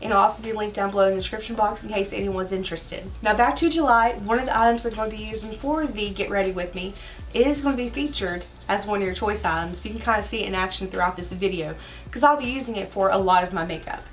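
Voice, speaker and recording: Hoarse woman vlogging with a distant mic. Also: medium pitch, fast, faint music behind. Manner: chatty and rambling, with filler asides. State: talking around a cough drop. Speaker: beauty vlogger. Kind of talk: casual get-ready-with-me chat.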